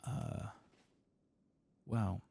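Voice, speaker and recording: A man giving soft-spoken ASMR commentary over video game play, hushed and at times slipping into a whisper close to the mic. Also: drawn-out words. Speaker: host of a gameplay channel.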